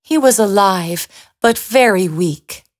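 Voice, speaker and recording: A woman's voice: mid-range voice, expressive, takes it slowly. A woman storyteller reading a scripted fairy tale for children.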